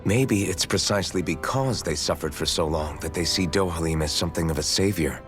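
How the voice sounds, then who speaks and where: An actor playing a thoughtful, fair-minded young swordsman in a fantasy video game, with a measured, reflective delivery. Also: low voice, soft background music, close to an everyday voice. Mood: pondering. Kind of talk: video game dialogue acting.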